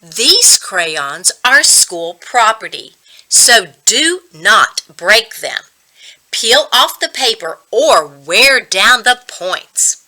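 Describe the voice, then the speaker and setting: A woman's voice: a little high, sing-song, very slow, pushed and bright, heavily acted, rough recording. A woman reading a storybook as a strict, bossy first grade teacher, firmly instructing her class.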